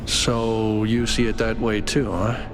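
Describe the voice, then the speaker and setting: A man playing a cautious, concerned colleague in a video game, speaking in an earnest, measured and hesitant way. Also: lowish voice, little pitch movement. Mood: worried, earnest. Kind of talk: naturalistic video game voice acting. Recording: music behind the voice. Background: game music.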